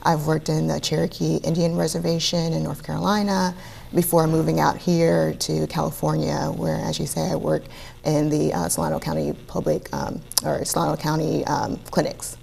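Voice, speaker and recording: A woman's voice: deep voice, little pitch movement, moderate pace, darkish tone. A woman from Virginia, a physician, answering interview questions in a casual way with some hesitation. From Virginia, US East Coast. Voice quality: gravelly, creaky. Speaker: physician, guest.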